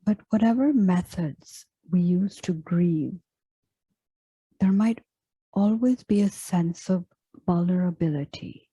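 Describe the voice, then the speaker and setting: A woman leading a guided meditation in a soft, breathy, hushed voice, speaking gently and in measured phrases with long pauses. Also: on the low side, no echo, rough recording. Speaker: meditation guide and yoga teacher. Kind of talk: slow guided meditation, scripted.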